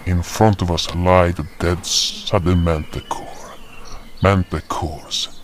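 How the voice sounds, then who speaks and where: An actor narrating as a solemn fantasy hunter who recounts his journey in a measured, dramatic way. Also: sits low, fairly even intonation, a little hiss or hum. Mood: solemn, descriptive. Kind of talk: scripted first-person fantasy storytelling.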